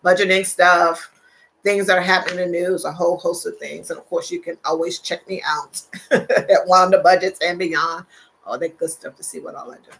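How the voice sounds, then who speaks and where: Older female host, gravelly voice, chatty and quick to laugh. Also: lowish voice, steady tone, a bit bright, low-quality recording. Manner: chatty, laughs often, repeats phrases. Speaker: livestream host. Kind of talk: casual live chat reading viewer comments.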